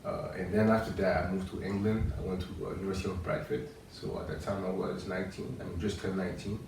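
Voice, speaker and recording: French-accented male guest, very deep monotone, plain measured telling, distant roomy mic with faint hiss. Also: creaky. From France. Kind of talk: interview storytelling.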